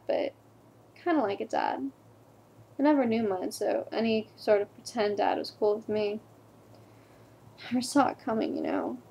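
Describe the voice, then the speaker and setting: A woman performing a dramatic stage monologue as a wounded, ashamed teenage girl, in a confiding, halting, subdued way. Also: fairly high pitch, a little mellow, breathy edge, heavily acted.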